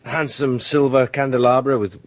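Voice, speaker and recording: An actor playing a suave, self-assured barrister in a radio drama, courteous, urbane and probing. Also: male voice, medium pitch, level tone, moderate pace, dry recording, lightly acted. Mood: sceptical, breezy.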